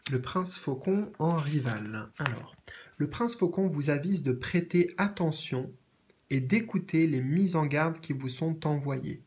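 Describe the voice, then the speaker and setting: A man with a cold and a sore throat giving a tarot reading in a gentle, repetitive way with many fillers. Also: on the high side, animated, measured pace, slightly rough. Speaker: tarot reader. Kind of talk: tarot reading, reading out card meanings. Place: indoors at a card table.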